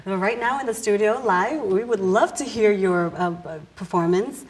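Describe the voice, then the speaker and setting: A woman's voice: medium pitch, normal speed, slight background noise. A woman hosting a studio interview, encouraging her guest with constant backchannels. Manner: encouraging, frequent mm-hmm backchannels. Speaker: interviewer, host.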